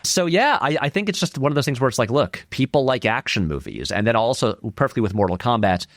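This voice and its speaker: A man's voice: mid-range voice, animated, fast talker, a bit bright, no room sound, clean studio sound. A man giving casual, conversational film commentary as an interview guest, thinking aloud in a rambling way and leaning on key words. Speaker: interview guest, filmmaker and video essayist.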